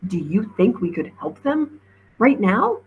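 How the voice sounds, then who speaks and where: A woman voicing a bossy, practical, determined sixth-grade girl in a storybook, speaking firmly and briskly. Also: a little high, very animated, narrow, tinny audio, slight background noise, voice pushed up.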